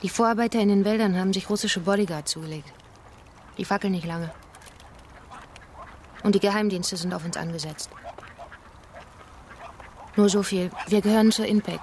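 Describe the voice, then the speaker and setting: A woman's voice: medium pitch, normal speed, pauses often, darkish tone, slight background noise, natural voice. A young activist woman, played by an actress in a radio play. She is fiery and defiant, with a combative, sardonic edge and real passion in an ordinary mid-range female voice. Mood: guarded, earnest. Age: young adult. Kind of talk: naturalistic German radio play dialogue.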